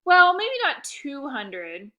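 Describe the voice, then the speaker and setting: An eager, scheming kid from a storybook, played by a woman reader. The voice jumps up high and bright, exclaiming and insisting like a pushy little salesperson. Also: wildly swooping pitch, slow, heavily acted. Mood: salesy, reassuring. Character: eager, scheming kid hosting a dog party.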